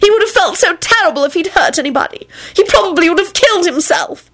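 A woman voicing a stubborn, protective, accusing female character with a shrill manner in a story reading. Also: animated, quick pace, fairly bright tone, full character voice. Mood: pouting. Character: Elizabeth, shrill, defiant, protective young female.

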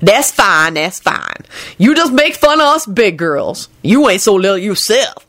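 A woman's voice: medium pitch, very animated, a little slow, fairly bright tone, no echo, pitched above the performer's own voice. A woman voicing a snappish, suspicious big woman in an audiobook, blunt and scornful in a folksy way. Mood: offended. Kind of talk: audiobook character dialogue.

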